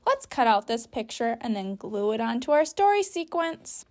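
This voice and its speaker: A woman's voice: on the high side, sing-song, moderate pace, a little mellow. A woman teacher guiding young children through a story activity step by step, patiently, with simple sentences and guiding questions. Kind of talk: instructional read-aloud for young children, step-by-step.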